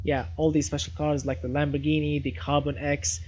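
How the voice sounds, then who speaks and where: A dull, noisy recording of a man with a Syrian Arabic accent hosting a live gaming stream. His voice sits a little high for a man. He talks casually and with enthusiasm, often doubling back on his phrases. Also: little pitch movement, brisk, a little mellow. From Damascus, Syria. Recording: muffled lo-fi audio, clear background noise.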